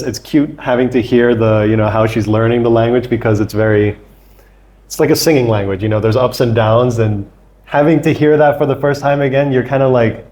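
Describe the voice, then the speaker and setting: Podcast guest, male, twenties, from Thailand; low-pitched, relaxed rambling talker. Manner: relaxed, rambling, many fillers and restarts. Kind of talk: casual interview talk.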